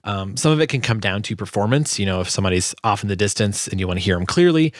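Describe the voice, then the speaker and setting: A man's voice: lowish voice, lively intonation, fast, no room sound, clean studio sound. A man giving a casual, informal tutorial as a sound designer, hesitant as he thinks aloud. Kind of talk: casual screen tutorial narration.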